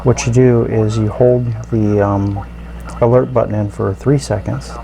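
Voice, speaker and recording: A man casually demonstrating a product, explaining it step by step in a halting, informal way. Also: fairly low pitch, steady tone, gravelly, warm tone, rough recording. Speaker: radio reviewer and video host.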